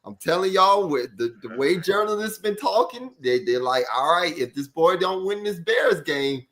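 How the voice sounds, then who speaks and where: A man's voice: high-pitched, expressive, normal speed, fairly bright tone. A man, a sports journalist on a podcast panel, explaining his point in casual discussion.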